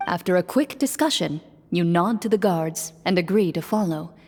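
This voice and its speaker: An actress playing a game master, narrating a fantasy adventure as scripted storytelling. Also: female voice, lowish voice, normal speed, darkish tone, slight background noise, natural voice. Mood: measured narration. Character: female storyteller running a fantasy tabletop adventure. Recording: long hall reverb, music underneath.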